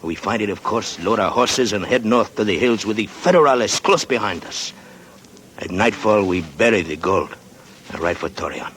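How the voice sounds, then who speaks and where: A man's voice: lowish voice, moderate pace, fairly bright tone, a little hiss or hum, lightly acted. An actor playing a secretive Mexican man who guards a buried gold secret, speaking broken English with a Mexican Spanish accent in a 1940s radio drama. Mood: earnest. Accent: Mexican Spanish (acted). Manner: broken English with Spanish words, wary and conspiratorial.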